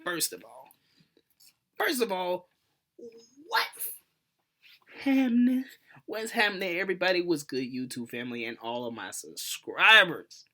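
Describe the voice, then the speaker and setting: A man in his twenties with an American accent, hosting a casual storytelling vlog in an animated, playful, slangy way. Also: high voice, measured pace, pauses often, background noise. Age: 20s. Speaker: YouTube host.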